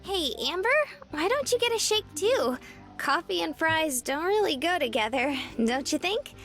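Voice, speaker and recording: A woman's voice: very high voice, animated, normal speed, fairly bright tone, soft background music, full character voice. A woman voicing a sweet, bubbly young woman in a visual novel, with a cutesy, chirpy, earnest manner. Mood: cheerful, coaxing.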